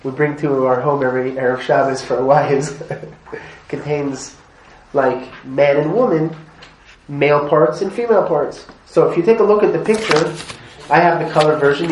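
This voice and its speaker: A man's voice: on the high side, moderate pace. Chatty man teaching in an echoey room. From Montreal, Canada. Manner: chatty, asks the group questions, laughs often. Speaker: rabbi teaching a class. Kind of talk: informal classroom lesson. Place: classroom. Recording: echoey room, mic at a distance, faint noise.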